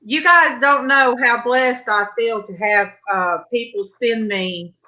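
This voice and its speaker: A woman's voice: fairly high pitch, slow, muffled recording. A middle-aged woman, hosting an online church panel in a folksy, chatty way over a video call. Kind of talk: casual chat on a livestream.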